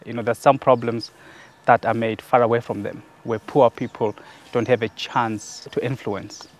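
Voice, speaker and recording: Calm reflective man in a garden. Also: medium pitch, normal speed. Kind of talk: documentary interview. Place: outdoors in a garden.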